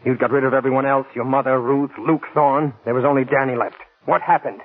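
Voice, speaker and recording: An actor playing an anguished, earnest husband in a grave 1940s radio drama style. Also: male voice, medium pitch, fairly even intonation, a little fast, lightly acted. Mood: accusing, anguished. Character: anguished writer husband, loving turned bitterly accusing. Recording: muffled, scratchy 1940s radio broadcast.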